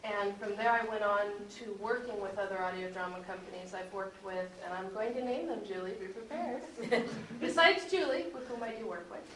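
A woman's voice: mid-range voice, normal speed, fairly bright tone. A woman speaking informally as a panelist on a convention panel about her work as a producer and voice actor. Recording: echoey room, distant mic, faint noise.

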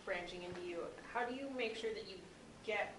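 A woman's voice: medium pitch, a little fast, background noise. A woman in the audience asking an informal question, recorded at a distance in an echoey room.

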